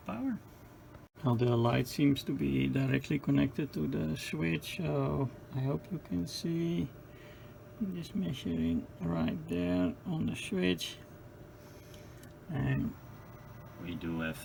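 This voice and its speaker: A man who is a non-native English speaker narrates a repair casually, with heavy gravelly creak in his voice. He speaks slowly and haltingly, thinking aloud as he goes. The home-made recording has clearly audible noise behind him. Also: medium pitch. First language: not English. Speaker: host of a radio restoration channel. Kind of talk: casual hands-on repair commentary.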